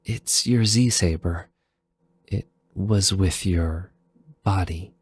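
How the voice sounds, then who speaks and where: Gentle, apologetic teen girl for audio drama, played by a man; breathy-husky, unhurried delivery. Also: sits low, no echo, voice pushed up, full character voice. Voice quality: soft, husky. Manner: hesitant, apologetic. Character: gentle, anxious, pleading teenage human girl. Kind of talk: fan fiction audio drama dialogue.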